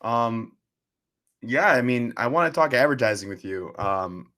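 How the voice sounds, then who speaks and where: A man's voice: mid-range voice, expressive, measured pace, sharp tone. Eager young male student host. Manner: eager, hesitant with fillers. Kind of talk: casual interview conversation.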